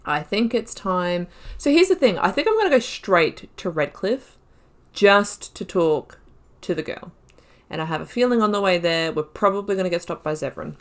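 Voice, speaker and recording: A young woman hosting a casual let's-play, chatting excitably and talking to herself while she plays. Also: on the low side, moderate pace. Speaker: gaming channel host.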